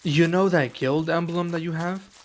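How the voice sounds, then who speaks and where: A young man playing a serious, guarded dragon adventurer in an ASMR roleplay, speaking softly and slowly with pauses. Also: a little high, steady tone, lightly acted. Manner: soft-spoken, measured, with pauses.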